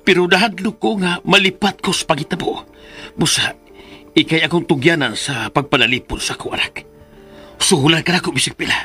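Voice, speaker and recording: A man's voice: on the high side, brisk, sharp tone, faint music behind. A man acting a worried, protective parent in a radio drama, grave and insistent, fearful of bad omens. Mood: worried, pleading.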